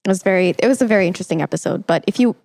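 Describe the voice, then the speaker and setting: A woman podcast host with a soft, mellow tone and noticeable vocal fry. She chats casually with her guest, engaged and encouraging, in a close, dry recording. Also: mid-range voice, racing, no room sound. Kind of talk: casual interview chat.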